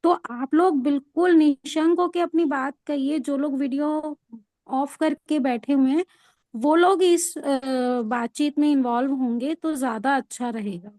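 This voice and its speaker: High, mellow-voiced woman moderating. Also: a little slow, no room sound. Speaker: class moderator. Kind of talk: informal announcement to participants. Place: online video call.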